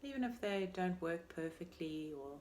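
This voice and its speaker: A woman leading a guided meditation as a teacher, speaking in a gentle, unhurried and soothing way with pauses between phrases. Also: lowish voice, animated, a little hiss or hum. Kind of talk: guided meditation, body scan.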